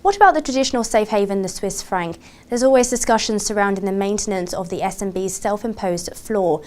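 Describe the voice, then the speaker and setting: A woman's voice: medium pitch, a little fast, darkish tone. A woman journalist putting prepared financial questions in a formal, articulate broadcast style. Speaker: TV journalist, interviewer.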